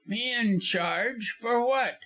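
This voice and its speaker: A man's voice: high voice, measured pace, a bit bright, voice pushed up. A man voicing a cowardly, venomous lean lawyer in an audiobook, with a snarling, gasping, nervous manner. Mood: frightened venom. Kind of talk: audiobook character acting.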